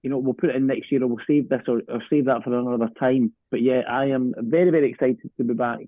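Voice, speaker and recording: Guy chatting really fast and keen, thin phone-like sound, no room echo. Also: mid-range voice, steady tone. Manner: enthusiastic, chatty. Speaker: pantomime director and writer, podcast guest. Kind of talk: casual interview chat. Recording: thin phone-like remote line.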